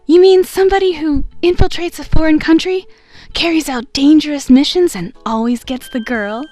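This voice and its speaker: Woman acting high-voiced, wide-eyed teenage girl assistant. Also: moderate pace, darkish tone, no room sound, lightly acted. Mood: curious. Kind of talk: video game fandub acting. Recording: amateur recording with music.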